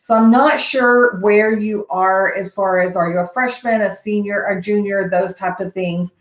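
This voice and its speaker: A woman's voice: mid-range voice, fairly even intonation, a little slow. A woman with a Texas accent hosting a casual question-and-answer session on college admissions, rambling with frequent ums and restarts. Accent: Texan. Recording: echoey room.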